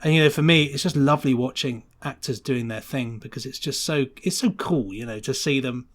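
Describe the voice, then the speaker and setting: A man talks as a guest in a relaxed interview. He is enthusiastic and chatty, wandering through his thoughts as he goes, with a mid-pitched voice. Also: brisk. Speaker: podcast guest, sound designer. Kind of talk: casual interview chat.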